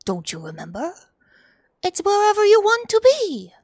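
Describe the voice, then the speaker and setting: A woman voicing an eager teddy bear companion in a game, coaxing and reassuring in drawn-out theatrical phrases. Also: very high voice, wildly swooping pitch, a little mellow, no room sound, studio quality, heavily acted. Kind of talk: video game character dialogue.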